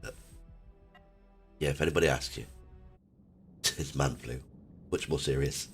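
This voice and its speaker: A man with a British accent and a very deep voice chats casually while streaming a game. He has a cold and sore throat, and faint music plays behind him. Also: steady tone, a little slow, breathy edge. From United Kingdom. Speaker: streamer and host. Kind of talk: casual chat while gaming.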